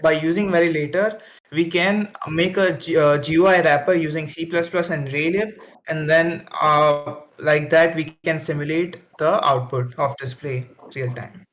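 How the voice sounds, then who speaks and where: A man explaining a technical choice in an online meeting, halting and full of fillers. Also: on the high side, steady tone, a bit bright, a little hiss or hum. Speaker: mentee presenting a project.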